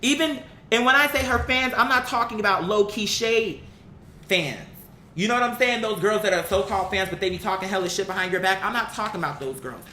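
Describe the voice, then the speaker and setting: A woman's voice: a little fast, pushed and bright. An American woman giving opinionated, casual commentary to her viewers, speaking in a blunt, emphatic, slangy way and often throwing out rhetorical questions. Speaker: vlogger, commentary host. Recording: roomy echo with faint rumbling noise.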